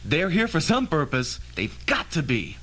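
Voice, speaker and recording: Radio drama physicist, acted by a man: brash, impatient, insistent, somewhat high male voice, old-fashioned emphatic delivery. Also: wildly swooping pitch, measured pace, fairly bright tone, exaggerated voice. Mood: urgent, pleading.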